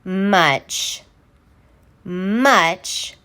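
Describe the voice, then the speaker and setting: A woman reading a children's story aloud in an expressive, theatrical way, landing deliberately on key words. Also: fairly low pitch. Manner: theatrical, deliberate, emphatic word by word. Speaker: storybook reader.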